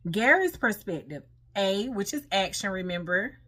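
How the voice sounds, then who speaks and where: A woman's voice: mid-range voice, big pitch swings, normal speed, pushed and bright. Female American online host, conversational and informal, mixing read-aloud lesson material with her own off-the-cuff commentary. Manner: informal, conversational, asks listeners rhetorical questions. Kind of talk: casual talk-through of read material with personal commentary.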